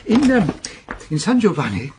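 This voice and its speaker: A man's voice: high-pitched, huge pitch swings, normal speed. An actor playing a cheerful elderly father in a radio drama, hesitant and rambling as he chats. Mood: cheerful, flustered. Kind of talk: naturalistic radio drama acting. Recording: clear background noise, clicks.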